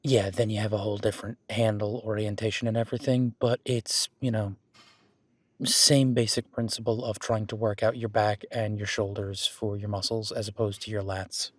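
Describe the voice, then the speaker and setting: A man's voice: on the low side, flat delivery, normal speed, no room sound, close to an everyday voice. A man playing a friendly, patient werewolf boyfriend who coaches a workout in a casual, instructive audio roleplay. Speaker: gym training coach in roleplay.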